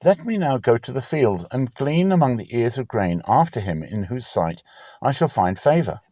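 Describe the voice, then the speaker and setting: A man's voice: mid-range voice, sing-song, moderate pace, warm tone, no room sound, clean studio sound. Man voicing a humble, pleading young widow. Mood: humble, earnest. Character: humble young foreign widow, deferential woman.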